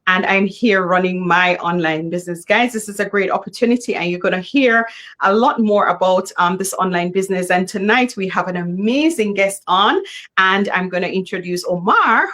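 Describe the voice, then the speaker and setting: A woman's voice: fairly low pitch, fairly bright tone. A middle-aged woman, hosting a live online business talk in an upbeat, welcoming way. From Jamaica. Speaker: host.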